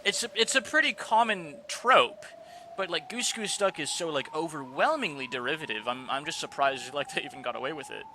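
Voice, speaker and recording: A man's voice: fairly high pitch, lively intonation, fairly quick, pushed and bright, a little hiss or hum. A man streaming live, chatting casually and rambling with lots of fillers and restarts.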